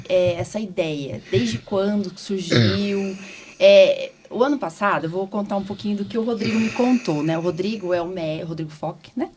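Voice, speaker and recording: A woman's voice: medium pitch, darkish tone, slight background noise. A woman with a Brazilian accent hosting a podcast, chatting informally and often adding little check-in tags. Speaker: podcast host, doctor. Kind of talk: casual conversational interviewing.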